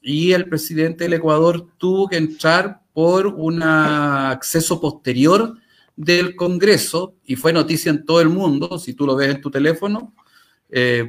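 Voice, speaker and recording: A man with a Chilean Spanish accent talking conversationally as an interview guest, articulate and emphatic, repeating phrases to drive points home. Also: on the high side. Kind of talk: conversational storytelling and commentary.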